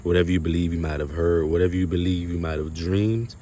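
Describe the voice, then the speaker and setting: A man delivering an unscripted spiritual monologue to viewers, halting and emphatic, often repeating his phrases. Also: low-pitched, fairly even intonation, dark tone, slight background noise. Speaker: spiritual video creator.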